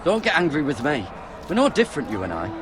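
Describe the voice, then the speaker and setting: An earnest young squire, respectful, bit high and animated, fantasy game with music under it. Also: male voice, fast, background noise. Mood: calm, placating. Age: young adult. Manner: earnest, deferential. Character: earnest, dutiful young male squire of humble birth. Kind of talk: medieval fantasy game voice acting. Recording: music behind the voice.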